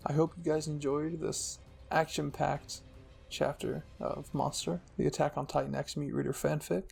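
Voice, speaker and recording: A man's voice: fairly high pitch, level tone, moderate pace, creaky, darkish tone. A man narrating a scripted fan-fiction story dramatically, as the host of a storytelling channel. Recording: music behind the voice.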